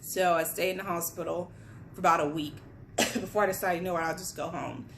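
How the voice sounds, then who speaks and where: A woman's voice: fairly low pitch, fairly even intonation, a little fast, fairly bright tone, a little hiss or hum. A young woman from Guyana, pregnant and on bed rest, telling a candid personal story in a casual chatty way while sitting at a table at home. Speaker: vlogger. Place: home, at a table among boxes.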